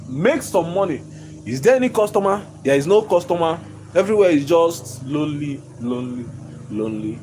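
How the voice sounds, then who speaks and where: A man, hoarse from losing his voice, ranting in a vlog from inside his own shop. He repeats himself emphatically, stumbles over his words and keeps breaking into laughter. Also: on the high side, a little hiss or hum, low-quality recording. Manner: repetitive, emphatic, stammering restarts, bursts of laughter. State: hoarse, lost voice. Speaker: shop owner vlogger.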